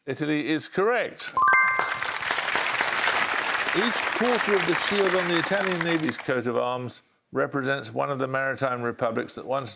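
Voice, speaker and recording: A man hosting a televised quiz, reading questions and calling answers in a brisk, authoritative way. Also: medium pitch, vocal fry, a bit bright. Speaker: quiz show host.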